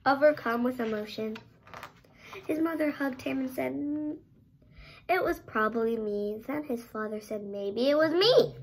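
A young girl reading a story aloud with dramatic, drawn-out emphasis, sometimes stumbling over words. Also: high-pitched, sharp tone. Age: child, around 8 to 10. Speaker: child presenter reading a picture book aloud. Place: bedroom, indoors.

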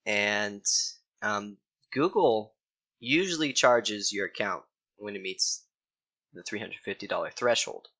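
Young male consultant, bright and forward tone, talks loosely with fillers while recording his screen at a desk. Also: lowish voice, low-quality recording. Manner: casual, frequent ums, mildly exasperated. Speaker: ads account consultant.

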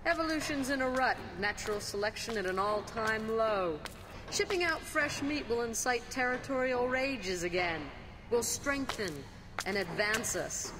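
An immortal villain queen from a video game, haughty and scornful. Her voice sits high and drips with theatrical contempt. The cutscene audio is clearly noisy. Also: expressive, measured pace, big-room sound. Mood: haughty zeal.

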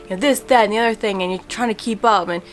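A young woman chats about her favorite books in a relaxed, rambling way, full of fillers. Her voice sits in a middle range for a woman. She is recorded at home on a camera a short way off, with soft music underneath. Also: medium pitch, quick pace. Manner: chatty and relaxed, many fillers. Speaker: book vlogger. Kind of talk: casual book tag vlog. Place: home room in front of bookshelves.

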